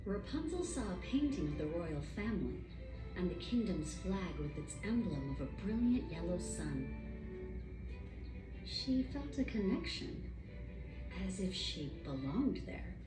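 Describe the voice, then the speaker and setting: A woman narrating a scripted bedtime story in an expressive storytelling manner. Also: fairly low pitch, unhurried. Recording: roomy distant pickup with music. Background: music.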